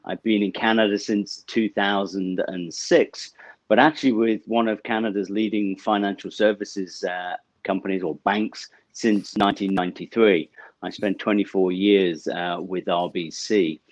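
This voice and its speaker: A middle-aged man from the UK with a British accent, explaining banking products as an interview guest over a video call, measured and full of small fillers. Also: lowish voice, fairly even intonation, no echo. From United Kingdom. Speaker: bank representative guest. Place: office with banner backdrop, indoors.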